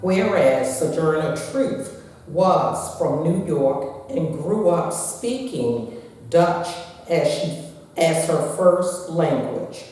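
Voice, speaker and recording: A woman reads a history report aloud at a very slow, careful pace. She is heard from far off in a cavernous, echoing hall with long reverb and faint background hiss. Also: low voice, expressive, warm tone. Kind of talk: reading a prepared history report.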